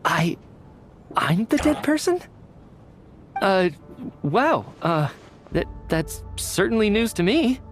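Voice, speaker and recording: A lively, expressive young man in a video game cutscene, with music behind him. He is earnest and courteous, speaking articulately as he reasons like an amateur detective. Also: sits high, takes it slowly, pauses often, heavily acted. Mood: stunned. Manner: polite, articulate, reasoning aloud.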